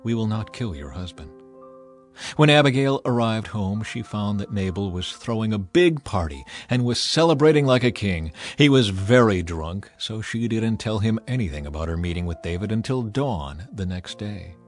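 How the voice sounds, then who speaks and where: A man narrating a dramatized scripture reading in a measured, solemn way. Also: lowish voice, lively intonation, soft background music.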